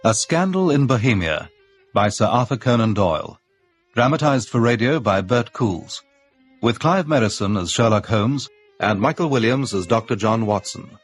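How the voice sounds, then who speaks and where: Radio announcer: man, mid-pitched, formal scripted credits read over music. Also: lively intonation, moderate pace.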